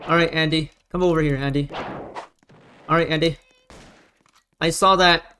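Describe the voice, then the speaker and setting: A man's voice: on the high side, steady tone, pushed and bright, slight background noise. Sex: man. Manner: rallying gamer. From United States. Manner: rallying teammates with quick repeated calls. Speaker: gamer streamer. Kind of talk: casual gameplay commentary.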